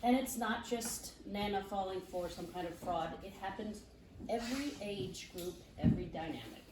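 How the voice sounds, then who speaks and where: A woman's voice: medium pitch, animated, normal speed. A woman who works as an identity theft specialist, speaking chattily to a live group with many fillers. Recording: roomy, distant mic, clear background noise.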